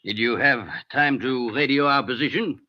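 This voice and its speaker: Actor as a coolly menacing ex-soldier, muffled recording. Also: male voice, mid-range voice, no echo, lightly acted. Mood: grave. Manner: formal, measured, probing. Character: coolly menacing German ex-soldier, formal and condescending. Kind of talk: old-time radio drama acting. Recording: muffled old radio show recording.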